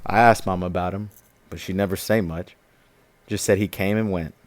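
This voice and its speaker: An actor playing a defiant, streetwise young activist in an audio drama, with a sardonic, informal way of talking. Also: male voice, sits low, fairly even intonation, normal speed, dry recording, close to an everyday voice. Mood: bitter. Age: young adult.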